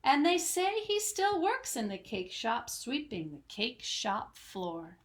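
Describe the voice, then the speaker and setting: A woman's voice: on the high side, wildly swooping pitch, takes it slowly. A woman reading aloud to young children as host of a story time, in a dramatic, singsong storytelling manner. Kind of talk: expressive picture-book read-aloud for young children.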